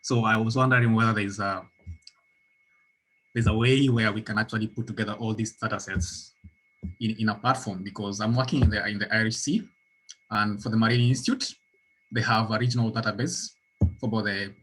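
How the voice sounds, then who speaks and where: A man's voice: on the low side, fairly even intonation, a little fast, a bit bright, breathy edge, poor audio. A man from Kenya speaking in an online meeting, often repeating words as he looks for the right phrasing. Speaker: meeting participant.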